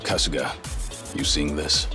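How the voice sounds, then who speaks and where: A man playing a stoic, gruff middle-aged yakuza veteran in a video game, terse and serious. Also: rumbling low, a little slow, music in the background. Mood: watchful. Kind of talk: English video game voice acting.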